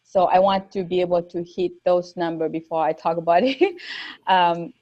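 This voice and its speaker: A woman's voice: lowish voice, little pitch movement, moderate pace. A woman guest on a podcast, a non-native English speaker with a slight Newfoundland accent, talking casually with many fillers. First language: not English. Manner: soft-spoken, many fillers. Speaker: guest powerlifter. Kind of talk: casual interview chat. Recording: dull lo-fi sound.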